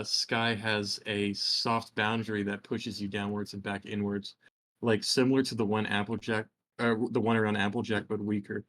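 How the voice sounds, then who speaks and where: A man with a low, very flat voice explains a game mechanic haltingly. He pauses often to find the words. The sound is dry and close. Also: no room sound. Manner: hesitant, with many uh fillers. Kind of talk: casual chat, explaining.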